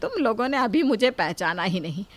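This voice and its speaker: A woman's voice: fairly high pitch, very animated, moderate pace. A woman playing a proud, touchy middle-aged mother in a cartoon family comedy, with an insistent, boastful way of talking.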